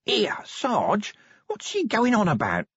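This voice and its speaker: Scruffy, confused city-watch corporal voiced by a man; high, pinched voice, dry and dull recording. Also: huge pitch swings, slow pace, fairly bright tone, husky, no echo, exaggerated voice. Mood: bewildered.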